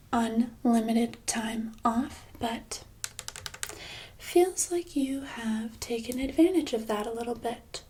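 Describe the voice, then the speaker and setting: A woman playing a smug, controlling boss in a soft-spoken ASMR roleplay, with condescending, mock-sweet, drawn-out delivery. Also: fairly high pitch, slight background noise. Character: smug, controlling female boss holding an employee captive.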